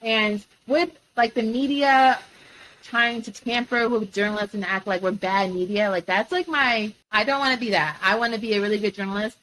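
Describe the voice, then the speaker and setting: A woman's voice: medium pitch, moderate pace, fairly bright tone, rough recording. A woman in her twenties, a track athlete and sports reporter, talking earnestly and with slang as a guest on a casual podcast. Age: 20s.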